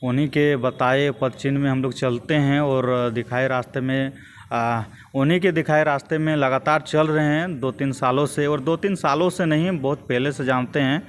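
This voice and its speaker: A young man with a Jharkhand Hindi accent answering as a political activist in an interview, in a measured, hesitant way with repeated phrases. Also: mid-range voice, steady tone. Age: young adult.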